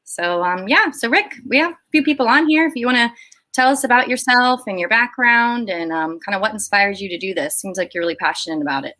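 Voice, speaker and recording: A young woman hosts a live-stream interview. Her voice is bright and forward. She talks in an upbeat, chatty way. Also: fairly high pitch, animated, fairly quick. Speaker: host.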